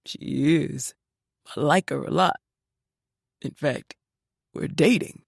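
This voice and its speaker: Woman doing a soft-spoken older father. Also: takes it slowly, creaky, husky, no room sound, clean studio sound, heavily acted. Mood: tender. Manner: soft, low, tender. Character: gentle widowed father, older man, newly in love.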